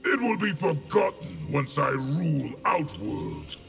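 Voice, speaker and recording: Gravelly, rebellious warlord. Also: male voice, on the high side, huge pitch swings, unhurried, faint music behind, heavily acted. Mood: defiant, resentful. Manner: forceful, defiant, declamatory. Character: proud, militant, rebellious male war leader. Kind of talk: dramatic video game acting.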